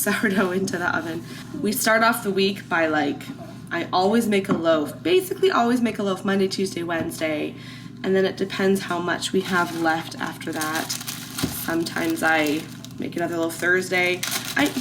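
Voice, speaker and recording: Chatty woman with a slightly stuffy cold. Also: fairly low pitch. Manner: chatty and rambling, with many "like"s and restarts. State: slightly congested from a lingering cold. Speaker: vlogger mom cooking for her family. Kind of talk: casual cook-with-me chat. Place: home kitchen, indoors. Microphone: camera set in a kitchen cupboard. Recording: clear background room noise.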